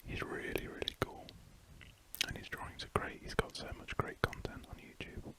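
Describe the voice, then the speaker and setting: A man in his twenties whispering a soft, rambling commentary while he draws, as an ASMR host. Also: moderate pace. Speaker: art channel host.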